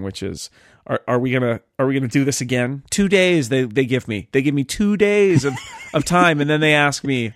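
Male podcast host, mid-pitched, halting and informal, recorded close and dry. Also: no room sound. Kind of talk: casual panel discussion.

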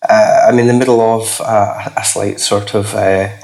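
A man with a husky, slightly hoarse voice, a podcast guest chatting casually, hesitant and full of fillers. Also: steady tone, measured pace, darkish tone, slight background noise, low-quality recording. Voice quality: husky, lower than usual. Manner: rambling, hesitant with many fillers. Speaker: podcast guest, comics critic.

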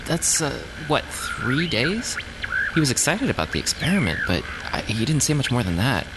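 A man's voice: mid-range voice, very animated, moderate pace, background noise, lightly acted. An actor playing a male Antarctic research scientist in an audio drama, dryly joking over a dead radio. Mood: calm, weary. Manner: dry joking, then frantic mayday cries. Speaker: scientist at polar station.

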